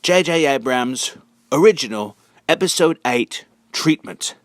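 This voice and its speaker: A man giving a casual, halting monologue as a video narrator, drawling words for sardonic emphasis. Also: mid-range voice, animated, no room sound, clean studio sound. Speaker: video narrator and amateur reader.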